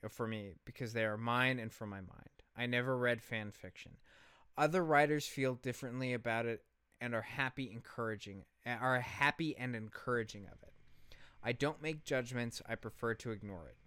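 A man's voice: medium pitch, fairly bright tone, smooth, no echo. A man co-hosting a podcast, telling a story in casual commentary with an emphatic, sarcastic manner and casual swearing. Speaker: podcast co-host.

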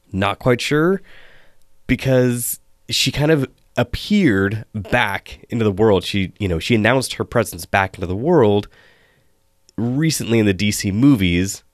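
A man hosting a solo podcast, hoarse and losing his voice, chatting with wry asides. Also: medium pitch, no room sound, clean studio sound. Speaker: podcast host.

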